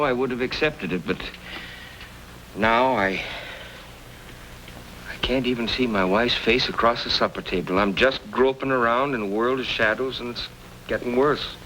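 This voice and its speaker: Male actor as gentle old craftsman, weary, homespun, forceful edge, old radio Western, faint hiss. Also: mid-range voice, lightly acted. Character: gentle, weary old knifesmith losing his sight. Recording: noisy old radio drama recording.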